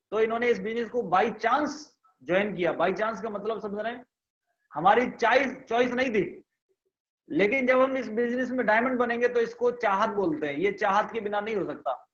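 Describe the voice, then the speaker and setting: A man with a high voice leads a motivational training over a video call, leaning on repetition and asking the audience questions. The sound is muffled and dull, like online call audio. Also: normal speed. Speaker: business trainer.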